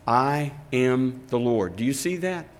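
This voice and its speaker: An older man, a pastor, reading scripture aloud and preaching a sermon in a slow, deliberate way with emphatic pauses. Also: mid-range voice, animated.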